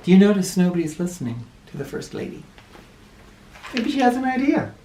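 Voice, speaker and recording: A woman's voice: mid-range voice, big pitch swings, a little fast, warm tone, slight background noise. A woman reading a picture book aloud to children expressively, with asides to her listeners. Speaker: storybook reader. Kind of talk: animated children's storybook read-aloud. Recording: roomy, somewhat far from mic.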